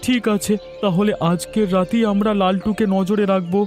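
A man's voice: sits high, steady tone, moderate pace, darkish tone, soft background music, lightly acted. A man voicing a wronged, aggrieved village elder brother in a dramatic cartoon. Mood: determined.

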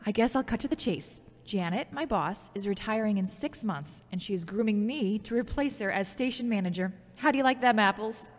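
A woman's voice: medium pitch, lively intonation, a little fast, hardly any pauses, lightly acted. A woman playing a touchy, ambitious grown daughter in an audio drama, speaking in a dry, defensive way. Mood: brisk, wry. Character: Charity, touchy, ambitious grown daughter, radio station worker. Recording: long echoey reverb.

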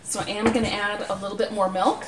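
A middle-aged woman demonstrating a recipe step by step in a plain, practical, unhurried way. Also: medium pitch, flat delivery. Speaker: home cooking show host. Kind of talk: cooking demonstration, explaining steps. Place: small home kitchen, indoors. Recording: roomy, distant mic, noisy kitchen clatter. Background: clattering dishes, utensils and clicks.

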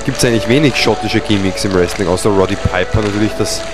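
A man's voice: fairly low pitch, fast, loud music behind the voice. A man doing comedic wrestling commentary, joking around and dropping in mock-French phrases.

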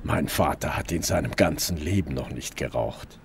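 A man's voice: extremely low pitch, moderate pace, slight background noise. An actor playing a sober, weary middle-aged police detective in a radio play, dry and restrained as he tells and acts his story. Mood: sober narrating. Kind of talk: first-person narration and radio play dialogue.

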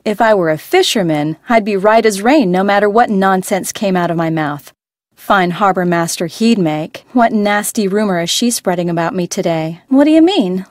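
An actress playing a frank, stubborn adult boat owner in a video game, talking in a matter-of-fact way. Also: female voice, medium pitch, no room sound, clean studio sound, lightly acted. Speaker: game voice actor.

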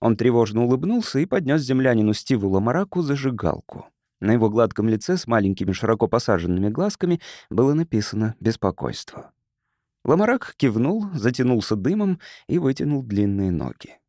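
A man reading a literary story as an audiobook narrator in a measured, expressive storytelling manner. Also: medium pitch, no echo, clean studio sound.

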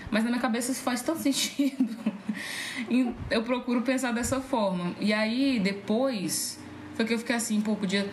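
A woman's voice: on the high side, fairly even intonation, normal speed. A woman chatting casually and informally as a podcast guest, telling stories with plenty of slang. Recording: roomy echo, faint background noise.

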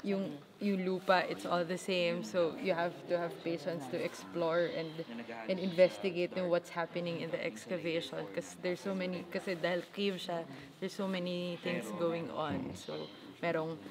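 A young Filipino woman with a lowish voice talks casually in an interview, slipping between two languages with a Filipino accent. People can clearly be heard around her at a busy work site. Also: level tone, normal speed. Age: young adult. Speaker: archaeologist being interviewed. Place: cave excavation site. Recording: chatter of a busy dig site.

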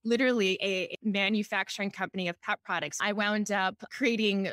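A woman's voice: medium pitch, steady tone, fairly quick, a bit bright, smooth, no room sound. A middle-aged woman from Hawaii talking enthusiastically and chattily as a guest, telling stories in a casual conversational way. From Honolulu, Hawaii. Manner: chatty and enthusiastic, laughs often. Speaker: podcast guest, entrepreneur and author.

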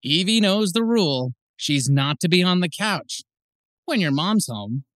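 A man's voice: high-pitched, big pitch swings, unhurried, a bit bright, no room sound, studio quality, full character voice. A man playing a teasing, jokey, easygoing dad in an audiobook. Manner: jokey, casual. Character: playful, teasing, easygoing dad of a young boy. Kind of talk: full-cast audiobook acting.

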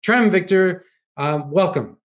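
An upbeat man in his forties hosts the podcast, his voice sitting a little high. He asks long, run-on questions, speaking from a room at home on a video call. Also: slow. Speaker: podcast host.

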